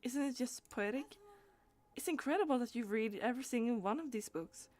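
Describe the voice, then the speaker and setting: A woman's voice: a little high, animated, a little fast, a little mellow, natural voice. A woman reading out a wordy, bookish game character who proudly loves old lore, declaiming with the odd stumble. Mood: delighted, proud.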